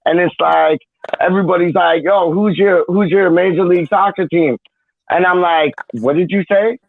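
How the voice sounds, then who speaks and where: High-voiced slangy man, muffled audio. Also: moderate pace, vocal fry, no room sound. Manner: animated storyteller, slangy, acts out dialogue. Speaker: guest of honour, birthday person. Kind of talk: casual live-stream chat.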